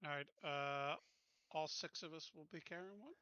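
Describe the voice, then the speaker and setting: Very bright, forceful higher-voiced man. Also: normal speed, no echo, lo-fi sound. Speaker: tabletop game player. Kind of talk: casual game-table planning.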